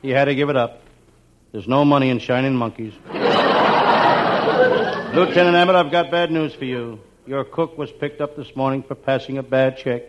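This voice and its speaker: A man playing a wisecracking, down-on-his-luck private detective in a 1940s radio comedy, with deadpan, slangy delivery. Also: mid-range voice, normal speed, long pauses, lightly acted. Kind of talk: 1940s radio comedy acting, scripted first-person narration. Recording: muffled, very noisy old radio recording.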